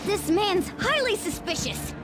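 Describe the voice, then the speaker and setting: A woman's voice: very high voice, very animated, unhurried, heavily acted. A woman voicing a small, protective, indignant cat creature in an anime game. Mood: suspicious. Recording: loud music behind.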